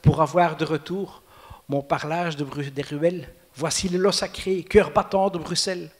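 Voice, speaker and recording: A man's voice: on the high side, steady tone, normal speed. A playful man with a Brussels accent, speaking as a guest author who jokes around and recites his own poems. His voice sits slightly above a typical man's. The sound carries a long reverb, as if recorded in a hall. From Brussels, Belgium. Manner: playful, joking, reads his own verse. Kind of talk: casual interview and poetry recital.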